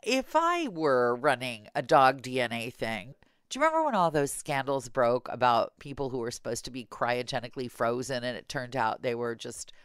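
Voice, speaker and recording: A woman's voice: extremely low pitch, sing-song, moderate pace, smooth, dry recording. A middle-aged woman podcast host telling humorous stories in casual chat, with a wry manner given to playful exaggeration.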